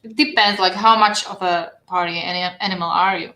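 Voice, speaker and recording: A woman's voice: lowish voice, fairly even intonation, a little fast, bright, forceful tone, reverberant, poor audio. A woman from Slovakia with a Slovak accent, chatting casually and laughing easily as a guest artist. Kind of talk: casual chat.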